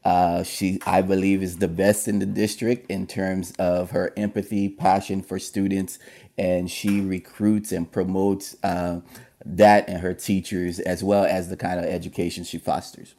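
Male teacher from Haiti, very deep voice, pauses and fillers as he answers a radio host. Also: flat delivery, warm tone. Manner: hesitant, many fillers. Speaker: history and government teacher. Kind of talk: radio interview guest.

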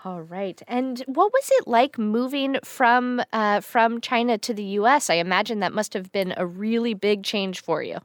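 Woman, radio host, kids show, clear, friendly, measured, dry studio sound. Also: medium pitch, no echo. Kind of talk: scripted radio hosting for kids.

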